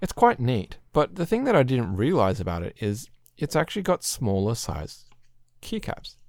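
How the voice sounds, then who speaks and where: A man hosting a podcast, chatting casually and rambling a little, clearing his throat often, his voice slightly throaty. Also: medium pitch, wildly swooping pitch, moderate pace, a little mellow, dry recording, clean studio sound. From Australia. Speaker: podcast host. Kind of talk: casual chat about keyboards.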